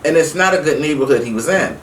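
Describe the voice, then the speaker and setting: A middle-aged woman telling a personal story casually to her viewers, emphatic and animated, acting out the dialogue as she goes. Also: sits low, fast. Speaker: vlog host. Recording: roomy, distant mic with faint noise.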